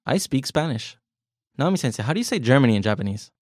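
A man's voice: medium pitch, very animated, quick pace, darkish tone, no echo. A man co-hosts a podcast language lesson. He explains each point plainly, nudges his co-host with questions and drops small jokes. His voice sits close and dry on the microphone. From United States. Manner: explanatory, asks leading questions, light jokes.

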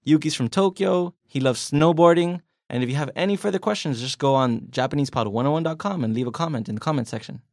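A man's voice: on the high side, fast talker, hardly any pauses, a little mellow, no echo. Man explaining, dry close sound. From United States. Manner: explanatory, asks leading questions, light jokes. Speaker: podcast co-host. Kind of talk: bilingual podcast language lesson.

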